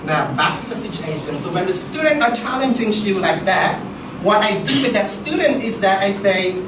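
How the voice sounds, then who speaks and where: A man's voice: sits high, very animated, normal speed. A man from Southeast Asia, for whom English is not his first language, leading a teacher workshop in a chatty way that keeps asking "right?". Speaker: teacher trainer. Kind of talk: workshop discussion. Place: classroom. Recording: echoey room, far mic, noisy.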